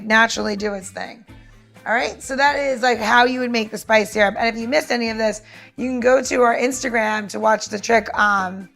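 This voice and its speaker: A woman's voice: on the high side, vocal fry, a bit bright. A woman co-hosting a podcast, explaining a recipe step by step in an informal, instructive way. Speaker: podcast co-host and cocktail maker.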